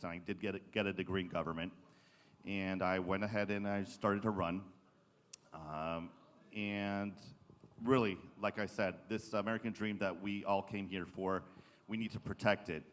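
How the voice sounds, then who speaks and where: A man from Israel giving an earnest, halting political speech to an audience as a state lawmaker. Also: low-pitched. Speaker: state assembly member, panel speaker. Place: large indoor conference session room. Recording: echoey hall with long reverb.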